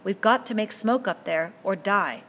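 A woman's voice: mid-range voice, animated, normal speed, fairly bright tone, slight background noise, pitched above the performer's own voice, close to an everyday voice. A woman reading a novel aloud, voicing an earnest, commanding boy chief who pleads and insists as he lays down rules. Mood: urgent.

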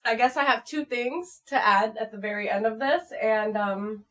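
American girl in her 20s, kinda high voice, chatty vlog style, jokey and unfiltered. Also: moderate pace, bright, forceful tone. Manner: playful, crude humor, frank. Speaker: channel performer reading viewer comments. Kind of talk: casual vlog chat. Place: small home room at computer desk.

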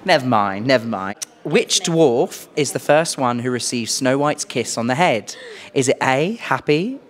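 A young adult man with a British accent hosting a playful quiz interview in an animated, exclaiming way into a handheld microphone. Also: medium pitch, measured pace. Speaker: host, quizmaster. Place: small furnished indoor room.

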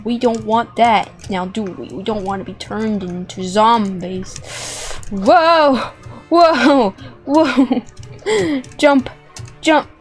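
A young woman giving playful, excitable, dramatic commentary as she plays a video game. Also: a little high, extremely slow. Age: young adult. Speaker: gaming video host. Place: indoor room with plush toys. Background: music.

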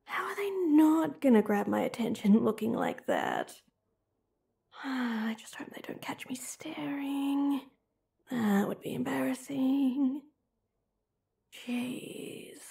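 A woman's voice: sits high, creaky, warm tone, full character voice. A woman voicing a young woman who teases her childhood friend while hiding a crush, switching between whispered inner thoughts and playful banter in an audio roleplay. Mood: flustered, pining. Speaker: voice actress.

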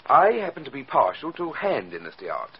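An actor playing a genteel, scheming criminal mastermind posing as an art collector, suave and fussily courteous in 1940s radio style. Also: male voice, medium pitch, huge pitch swings, breathy edge, full character voice. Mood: genial. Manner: suave, fussy, mock-courteous, deliberate. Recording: dull, noisy 1940s radio recording.

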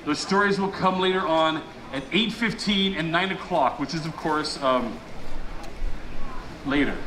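A man from Hawaii performing live as a storyteller, telling a legend theatrically with dramatic pauses and drawn-out emphatic words. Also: a little high. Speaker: resort storyteller. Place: outdoor poolside deck at a resort. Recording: roomy, noisy outdoor live recording.

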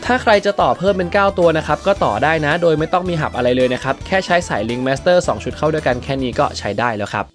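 A man's voice: fairly high pitch, expressive, quick pace, hardly any pauses. A man narrating a product review in an explanatory way, with frequent polite particles. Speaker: tech reviewer and production crew member. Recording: background music under the voice. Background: background music.

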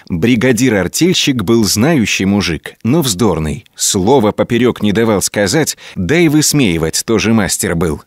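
Animated male folk tale storyteller. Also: fairly low pitch, no room sound, clean studio sound. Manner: folksy, colloquial, expressive telling. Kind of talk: scripted folk tale narration.

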